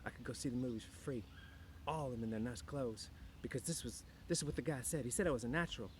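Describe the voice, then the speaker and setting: Restless young country wife, played by a male narrator: bitter, dreamy, chatty, slangy, pitched up, faint noise. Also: fast, lightly acted. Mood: wistful. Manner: rambling, slangy, uneducated rural speech. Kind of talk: audiobook character voice.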